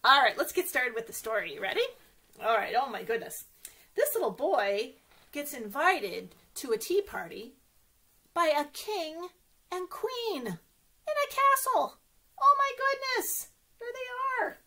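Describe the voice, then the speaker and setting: High lively lady reading a picture book to little kids, sing-songy, keeps asking them stuff. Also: a little slow. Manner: animated, sing-song, addresses children directly with questions. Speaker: children's librarian reading stories to kids. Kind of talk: read-aloud story time for young children.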